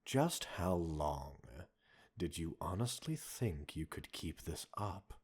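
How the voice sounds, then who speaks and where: A man playing a cold, condescending villain scientist in an audio roleplay, a possessive captor speaking in a measured, mocking, formal way. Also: low-pitched, sing-song, a little mellow, slightly rough, full character voice. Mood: condescending, probing. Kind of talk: dramatic audio roleplay acting addressed to listener.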